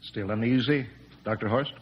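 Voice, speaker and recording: An actor playing a steady, commanding space captain in a 1950s radio drama, clipped and controlled. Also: male voice, medium pitch, normal speed, slightly rough, close to an everyday voice. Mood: brisk, controlled. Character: steady, commanding male captain of a Mars expedition. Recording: dull, noisy old radio broadcast.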